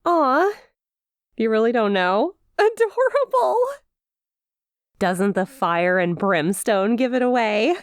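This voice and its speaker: A woman's voice: a little high, very animated, takes it slowly, pauses often, clear, resonant tone, no room sound, clean studio sound. A woman playing a perky, smug demon imp in an ASMR roleplay, teasing with playful mock sympathy and a possessive streak. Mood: teasing, gloating. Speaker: audio roleplay performer.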